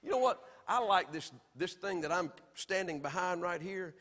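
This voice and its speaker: Southern preacher with a high voice. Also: male voice, lively intonation, normal speed, long reverb tail. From Alabama. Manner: emphatic preaching with sudden swings between loud and soft. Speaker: pastor. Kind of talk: sermon. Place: church sanctuary.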